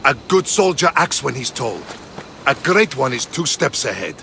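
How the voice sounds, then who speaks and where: A man playing a principled, measured Spartan soldier in dramatic video game dialogue. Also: medium pitch, sing-song, vocal fry, sharp tone, slight background noise, full character voice.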